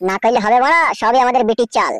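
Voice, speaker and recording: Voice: medium pitch, rapid-fire, fairly bright tone, no echo, clean studio sound, close to an everyday voice. A voice actor playing a cocky, scheming, bossy village man in a comedy cartoon, crude and boastful. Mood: smug, bossy. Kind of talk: amateur Bengali comedy cartoon dialogue.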